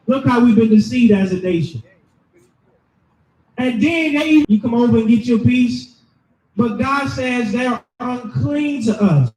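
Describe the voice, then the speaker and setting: High-pitched shouting preacher, echoey and distant. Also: male voice, unhurried. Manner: loud, emphatic, preaching to a crowd. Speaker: street preacher. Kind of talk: shouted sermon with scripture reading.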